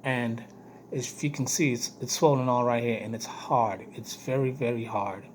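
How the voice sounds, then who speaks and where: Guy doing a casual vlog update, hoarse weak voice, kinda halting, repeats himself, some noise behind him. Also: medium pitch, steady tone. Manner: halting, repeats phrases for emphasis. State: hoarse, weak voice after throat surgery. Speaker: vlogger giving health updates. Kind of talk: casual video diary update to camera. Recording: noticeable background noise.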